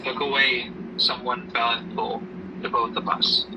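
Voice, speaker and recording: A man acting as a protective father in grief, heard a little away from the mic through clear background noise. He talks gently and haltingly, reassuring his daughter in a homemade audio drama. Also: medium pitch, little pitch movement, close to an everyday voice. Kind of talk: amateur audio drama dialogue. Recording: noisy hobby recording, distant mic.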